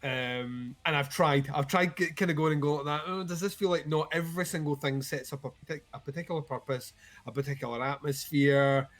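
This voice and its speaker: A man's voice: fairly high pitch, steady tone, brisk. A man co-hosting a livestream who rambles through a casual chat about albums and swears freely. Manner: rambling, many fillers and restarts, swears freely. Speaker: co-host.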